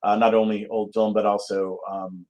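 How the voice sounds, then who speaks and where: A man from Connecticut speaks as an interview guest in a slightly low voice. He is casual and thoughtful, often pausing as he searches for the next phrase. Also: flat delivery, a little mellow. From Connecticut, United States. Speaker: guest playwright.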